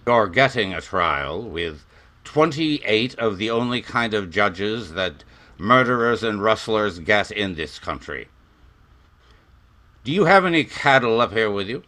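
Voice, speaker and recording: A man plays a cruel, formal major in a radio western. His voice is low and forceful, with a lively range and a stern, commanding delivery. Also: takes it slowly, long pauses, exaggerated voice. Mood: stern, cold. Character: formal, cruel cavalry major interrogating prisoners.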